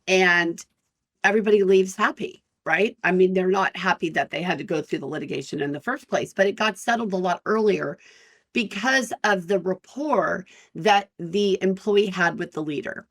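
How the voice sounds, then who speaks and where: A woman in her fifties, an employment lawyer hosting her own podcast, talking frankly and directly to listeners in a loud, energetic voice. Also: lowish voice, normal speed. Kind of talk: solo podcast talk.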